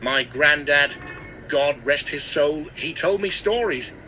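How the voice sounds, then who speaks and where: Man playing a very bright-voiced, superstitious old local historian. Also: a little high, expressive, a little hiss or hum, heavily acted. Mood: ominous reminiscing. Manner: hesitant, folksy storytelling with trailing pauses. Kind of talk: scripted mystery drama interview.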